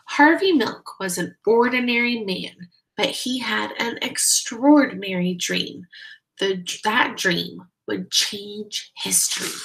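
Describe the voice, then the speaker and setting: Woman, kindergarten teacher, read-aloud style, very unhurried, creaky edge, mid pitch, simple child-directed explanations. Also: a bit bright, rough recording. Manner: simple explanations with guiding questions addressed to young children.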